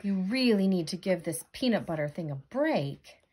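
A woman's voice: lowish voice, expressive, moderate pace, darkish tone, slight background noise, voice pushed down, lightly acted. A woman voicing an anxious, exasperated jellyfish, fretful and incredulous, in a picture-book read-aloud. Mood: alarmed, worried.

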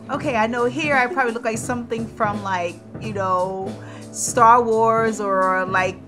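Woman, thirties, higher-pitched, informal beauty tutorial, chatty delivery, music playing behind. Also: steady tone, measured pace. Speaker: beauty vlogger. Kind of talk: casual chatty makeup tutorial voice-over. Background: radio music playing in the background.

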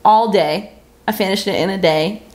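A woman's voice: medium pitch, normal speed, a little mellow. A woman in her twenties co-hosting a podcast in casual chat, effusive and self-deprecating, often dropping in "like". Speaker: podcast co-host. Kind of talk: casual chat about books. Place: living room sofa, indoors.

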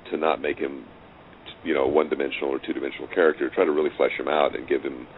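A man's voice: rumbling low, flat delivery, very fast. A middle-aged man reminiscing casually as an interview guest, often chuckling and repeating phrases for emphasis. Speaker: interview guest, audio drama writer and director. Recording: tinny phone-like line, clear noise.

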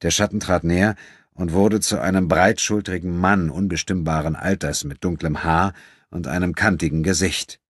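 Deep, husky male audiobook narrator. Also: steady tone, normal speed, no room sound, clean studio sound. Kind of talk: scripted dramatic narration of a novel.

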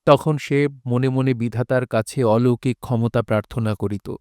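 A man reading a scripted literary story as narrator, in measured, formal diction. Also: mid-range voice, fairly even intonation, darkish tone, dry recording, studio quality. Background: faint music.

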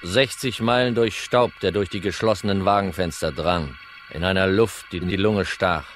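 An actor playing a gruff, weary hard-boiled insurance detective in a crime radio drama, dry and laconic. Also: male voice, sits low, normal speed, fairly bright tone, slight background noise. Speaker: narrator and lead actor.

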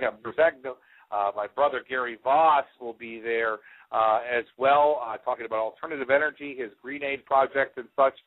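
A man in his forties hosts an internet radio show with a mid-range voice. He rambles through unscripted announcements, pausing and hesitating often. The sound is thin and band-limited, like a telephone feed from a headset. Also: mid-range voice, narrow, tinny audio. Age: 40s. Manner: rambling, frequently hesitant delivery.

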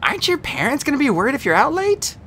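A narrator voicing a sharp, skeptical teenage girl with a sarcastic edge. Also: high-pitched, very animated, quick pace, a little hiss or hum, pitched above the performer's own voice. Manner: sarcastic, clipped.

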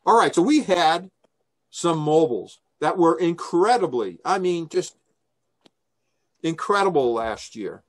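A man's voice: a little high, animated, measured pace, long pauses. An older man giving an informal slide briefing over a video call, conversational and enthusiastic in manner. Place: small room indoors, on a video call. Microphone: video call microphone.

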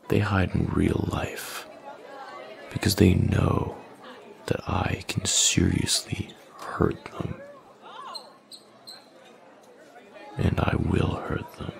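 A man playing a smug, menacing young male bully in an intimate audio roleplay, taunting with slow, deliberate threats in a deep, gravelly voice. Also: a little mellow, exaggerated voice. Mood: contemptuous. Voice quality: deep, gravelly, breathy at line ends.

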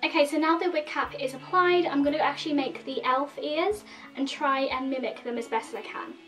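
A woman's voice: sits high, fairly quick, fairly bright tone, recorded from a distance, soft background music. A woman in her thirties with a slightly nasal sore throat, chattily explaining a makeup tutorial step by step to the camera. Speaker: makeup vlogger. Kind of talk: casual tutorial talk to camera. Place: indoor room in front of a red plaid backdrop.

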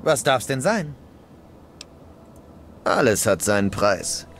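An actor playing a businesslike scavenger trader in a video game. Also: male voice, mid-range voice, big pitch swings, slow, a bit bright, slight background noise, exaggerated voice. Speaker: merchant character.

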